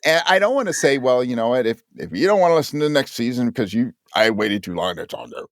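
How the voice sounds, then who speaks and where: A man of average pitch talks as a guest in a casual podcast interview, with very lively intonation. He is earnest and emphatic and often voices imagined remarks as he explains. The sound is close and dry, without room echo. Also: fast. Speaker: podcast guest and audio drama creator.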